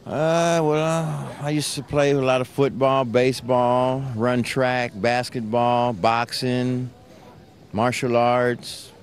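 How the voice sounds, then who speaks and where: A man's voice: medium pitch, flat delivery, takes it slowly. A man in his fifties giving brief, self-assured answers in a casual street interview. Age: 50s.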